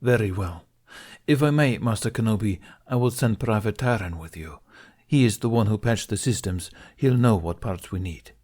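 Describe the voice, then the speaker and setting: A man's voice: on the low side, moderate pace, a little mellow, natural voice. A male reader plays a weary military commander in a science fiction audiobook. He is dutiful and plain-spoken. He reports grim damage with tired practicality. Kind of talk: acted audiobook dialogue in a science fiction story.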